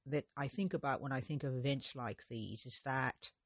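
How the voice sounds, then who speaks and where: A man's voice: medium pitch, normal speed, no echo. A man hosting his own podcast, narrating a mystery in a conversational way with frequent restarts and hesitations. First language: not Russian. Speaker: podcast host.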